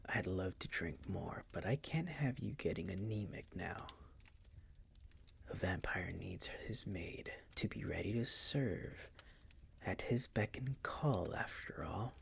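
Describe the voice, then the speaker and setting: A man playing a seductive, possessive vampire noble who dotes on his servant, in an intimate ASMR roleplay with a breathy, gravelly, crooning whisper. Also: sits low, a bit bright, full character voice. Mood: hungry, savouring. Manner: slow, crooning, teasing, possessive.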